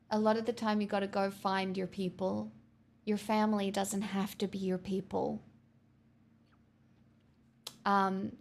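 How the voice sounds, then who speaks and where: Streamer lady with a creaky voice, middle pitch, just chatting, kinda rambly and blunt. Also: a little mellow. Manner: candid, rambling, frequent restarts and swearing. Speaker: live streamer. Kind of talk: casual chat, answering viewer messages.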